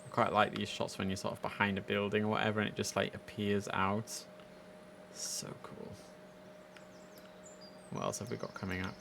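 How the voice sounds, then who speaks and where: A man's voice: on the low side, little pitch movement, moderate pace, creaky, long pauses, noisy background. A young man giving chatty, enthusiastic casual commentary as he streams a game live from indoors. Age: young adult. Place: indoors at a computer.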